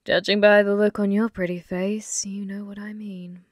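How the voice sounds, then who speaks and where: A woman playing a flirty, possessive, taunting female demon in an ASMR roleplay, slow and intimate, often slipping toward a whisper. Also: medium pitch, flat delivery, no room sound, clean studio sound, natural voice. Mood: taunting, confiding.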